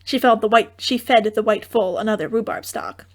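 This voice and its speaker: A woman's voice: medium pitch, expressive, normal speed. A woman narrating a comic fairy tale audiobook in a theatrical, droll manner with wry asides.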